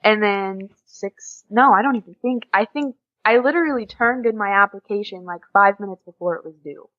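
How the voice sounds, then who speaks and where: A woman's voice: mid-range voice, moderate pace, dull audio. A teenage American woman chatting casually as an interview guest, informal and peppering her talk with "like". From USA, small town in Oklahoma. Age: teens. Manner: informal, frequent "like" as filler. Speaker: interview guest, exchange student. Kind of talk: casual chat.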